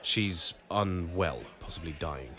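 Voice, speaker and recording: A man's voice: low voice, takes it slowly, bright, forceful tone, full character voice. An actor playing an earnest, apologetic and evasive newspaperman in a period audio drama, hesitant and polite as he protests. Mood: uneasy.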